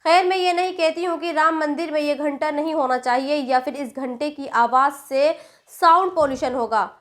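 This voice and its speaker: Woman, high voice, scripted news commentary, explanatory, direct address. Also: normal speed. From India.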